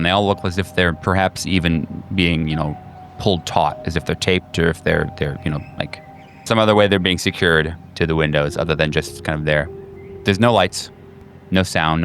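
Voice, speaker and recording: A man working as game master, improvising narration for a tabletop game in a halting, conversational way. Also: sits low. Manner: halting, conversational, searching for words.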